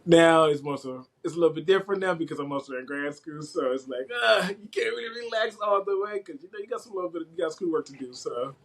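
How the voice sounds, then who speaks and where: A man's voice: on the high side, animated, fast talker, few pauses, fairly bright tone. A young man from West Africa who later moved to the US, talking casually as a podcast guest in an informal, rambling way that often doubles back on itself. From West Africa (Liberia), later the US from age eleven. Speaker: podcast guest, IT systems administrator. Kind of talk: casual interview chat.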